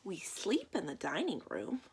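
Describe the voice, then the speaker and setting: A woman's voice: mid-range voice, huge pitch swings, unhurried, a little hiss or hum. Woman doing a matter-of-fact, mildly exasperated storybook child. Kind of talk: children's picture book read-aloud.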